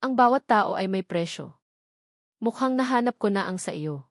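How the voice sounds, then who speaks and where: A woman's voice: medium pitch, expressive, moderate pace, dry recording, clean studio sound, lightly acted. A woman voicing a cold, controlling billionaire man in an audiobook drama, with curt, commanding delivery. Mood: icy, controlled.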